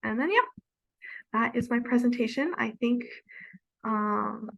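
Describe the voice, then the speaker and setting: A woman's voice: fairly high pitch, expressive, a little slow, gravelly, dry recording, dull audio. A woman giving an informal online presentation as a university representative, speaking in a hesitant, tentative way. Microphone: video call.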